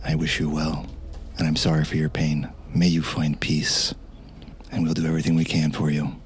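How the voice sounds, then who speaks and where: A man voicing a solemn, weary military commander who speaks in grave, terse, measured phrases. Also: a bass voice, little pitch movement, breathy edge, exaggerated voice. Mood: sorrowful, gentle.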